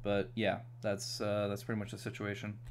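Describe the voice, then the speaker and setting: A man's voice: fairly low pitch, completely flat delivery, normal speed, vocal fry, a little hiss or hum. Laid-back streamer guy from New York. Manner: laid-back, chatty, many fillers. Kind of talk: casual gaming chat.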